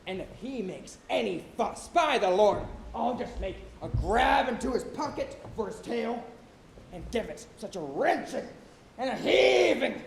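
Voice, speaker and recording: A man on stage playing a boastful, excitable sailor with comic, blustering bravado. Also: extremely high pitch, measured pace, gravelly, heavily acted. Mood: defiant, blustering. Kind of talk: theatrical stage acting. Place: college theatre stage. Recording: roomy auditorium stage recording, some hiss.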